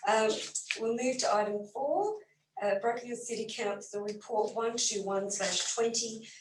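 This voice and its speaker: A woman chairs a council meeting as mayor, moving through motions and votes in a brisk, businesslike way. Her slightly higher voice is a bit croaky. She sounds set back from the mic in an echoey room, with faint noise underneath. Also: recorded from a distance. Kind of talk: formal meeting procedure.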